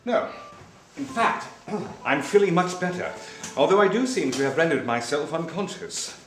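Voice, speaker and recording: Witty british gentleman detective, kinda high voice, really swoopy and theatrical, roomy w music behind. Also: big pitch swings, normal speed. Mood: wry, self-satisfied. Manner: theatrical, wry, precise diction. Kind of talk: dramatic film dialogue.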